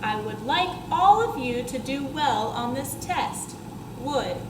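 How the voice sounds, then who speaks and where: A woman voicing a stern male schoolteacher from a storybook, announcing spelling words with deliberate enunciation. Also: high-pitched, animated. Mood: formal. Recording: roomy classroom echo, clear background noise.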